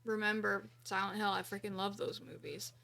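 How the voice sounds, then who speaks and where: Woman with a gravelly, creaky voice. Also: medium pitch, little pitch movement, normal speed, pushed and bright. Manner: informal, interjecting in agreement. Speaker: podcast co-host. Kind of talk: casual podcast chat.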